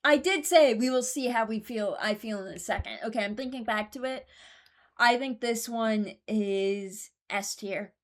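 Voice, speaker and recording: A woman's voice: fairly high pitch, a little slow. A woman giving casual commentary, thinking aloud in a hesitant, deliberating way and often correcting herself. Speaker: host ranking game voice lines.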